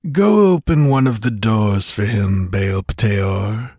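A man's voice: fairly low pitch, slow, a little mellow, husky, dry recording, clean studio sound, heavily acted. A man voicing a cruel, lecherous sorcerer-priest in an audiobook story, sardonic and purring with false courtesy. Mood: sardonic, commanding. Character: cruel, mocking, smugly lecherous male sorcerer-priest villain.

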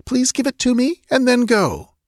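An imperious princess in a fantasy tale , voiced by a man in a lifted register. The delivery is curt, commanding and decisive, high and animated, with a dry close sound. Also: moderate pace, dry recording. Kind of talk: fantasy storybook character voice.

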